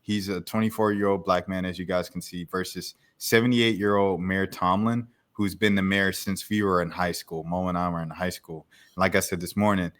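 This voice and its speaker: A young man hosts a laid-back podcast, talking loosely with plenty of slang. His voice sits on the low side and comes across bright and forceful. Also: flat delivery, normal speed, few pauses. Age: young adult. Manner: easygoing, chuckling, drifts into slang. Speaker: podcast host. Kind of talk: casual chat.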